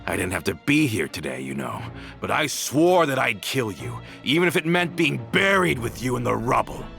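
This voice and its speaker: An actor playing a bitter, vengeful former mafia member in a video game, with theatrical, accusing delivery that dwells on each phrase. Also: male voice, mid-range voice, very animated, moderate pace, gravelly, fairly bright tone, soft background music. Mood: cold resentment. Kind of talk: dramatic video game voice acting.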